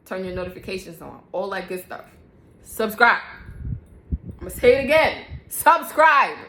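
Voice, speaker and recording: A woman's voice: medium pitch, animated, normal speed, pushed and bright, reverberant, slight background noise, low-quality recording. A woman hosting her own faith-based mom podcast, talking casually to camera, chatty and often laughing, repeating herself for emphasis. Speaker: podcast host and mother.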